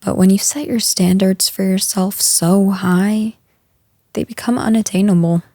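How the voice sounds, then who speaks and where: A woman playing a caring female boss in an intimate audio roleplay, speaking gently and earnestly with hesitant pauses. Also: mid-range voice, vocal fry, dry recording, studio quality.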